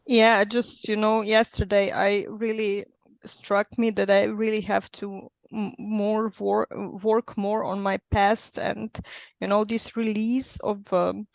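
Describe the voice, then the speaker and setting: A woman from Slovenia with a Slovenian accent, sharing her experience as a coaching client in hesitant, careful English, often pausing to search for words. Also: mid-range voice, flat delivery, gravelly, no room sound. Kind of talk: personal account in a group coaching session.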